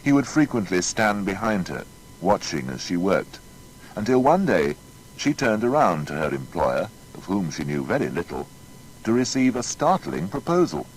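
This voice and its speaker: Deep-voiced male narrator, lively intonation. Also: normal speed, slight background noise, low-quality recording. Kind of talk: scripted biographical narration.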